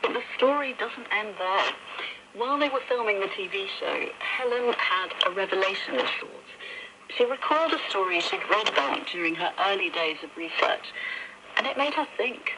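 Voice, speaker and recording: Woman, medium pitch, radio host, interview questions and linking narration, very noisy crackly shortwave reception. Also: animated, normal speed.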